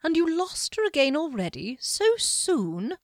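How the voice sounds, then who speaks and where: A sly male wizard, put on by a woman reading aloud, speaks in a slow, smug drawl. His calm carries quiet menace. The recording is dry and close. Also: high voice, no echo, pitched above the performer's own voice, heavily acted. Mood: mocking.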